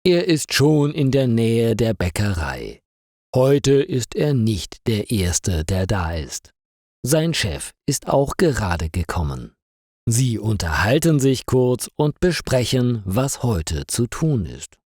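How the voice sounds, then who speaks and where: Slow, animated male storyteller, very dry. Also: mid-range voice, dry recording. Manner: careful, clearly paced for learners. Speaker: storyteller for German learners. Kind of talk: scripted audiobook narration.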